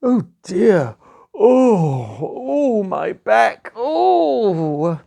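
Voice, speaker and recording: A storyteller voicing a dainty, delicate young princess with a breathy, cooing manner. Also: medium pitch, big pitch swings, normal speed, mellow, voice pushed up. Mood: aching, complaining.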